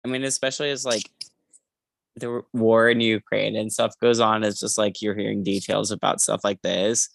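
A podcast co-host with a mid-pitched, gravelly voice talks casually about books. The delivery is emphatic, with phrases repeated for weight, and the sound is close and dry. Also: little pitch movement, moderate pace, dry recording. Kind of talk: casual book discussion.

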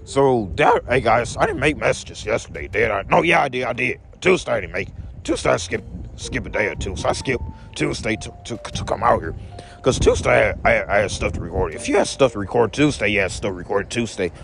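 A man's voice: medium pitch, animated, fairly quick, vocal fry, fairly bright tone. A young man talking casually to his viewers in a rambling vlog monologue, repeating each phrase back to himself in the second person. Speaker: vlogger.

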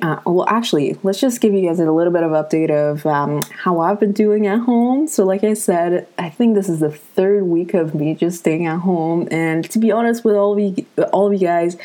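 A woman's voice: on the low side, warm tone. A young woman in her twenties hosting a show, chatting casually to viewers with many fillers while coming down with a cold. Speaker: host.

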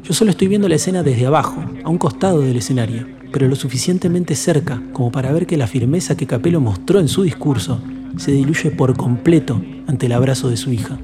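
A man narrating a documentary podcast in a measured, solemn and lyrical way. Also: mid-range voice. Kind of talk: reflective scripted narration. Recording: long reverb with music bed.

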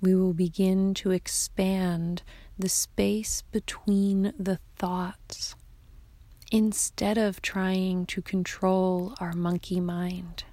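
A woman guiding a yoga nidra meditation in a soft, hushed, half-whispered voice, giving calm, soothing instructions. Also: mid-range voice, extremely slow, dry recording. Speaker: meditation guide.

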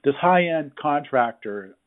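A man hosting a casual interview over the phone, talking informally and effusively in halting phrases. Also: fairly high pitch, animated. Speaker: interviewer, hands-on tradesman. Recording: husky-sounding, thin phone-line audio.

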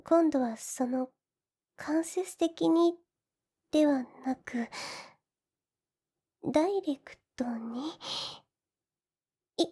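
A woman voicing a gentle, devoted slime woman in an ASMR fantasy audio drama, speaking politely and a little shyly. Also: high voice, extremely slow, pauses often. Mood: shy, flustered. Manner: soft, polite, deferential, shyly hesitant. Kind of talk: Japanese ASMR situation voice drama.

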